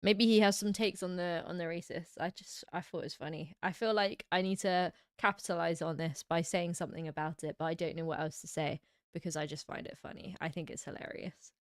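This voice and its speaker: A woman's voice: on the low side, steady tone, a little fast, clear, resonant tone, no room sound, studio quality. A British woman hosting a livestream who chats casually and giggly, reading viewer comments aloud. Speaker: livestream host. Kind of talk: casual chat reacting to live comments.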